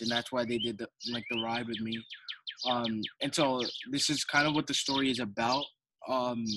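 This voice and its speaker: A man's voice: mid-range voice, background noise. A teenage boy from Colombia whose first language is Spanish, reading a picture book aloud to children with many hesitant ums. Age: teens. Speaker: student reader.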